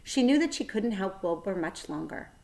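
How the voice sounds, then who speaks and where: Lady teacher reading a kids book out loud, careful and expressive, medium pitch. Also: moderate pace. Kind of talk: children's story read-aloud narration.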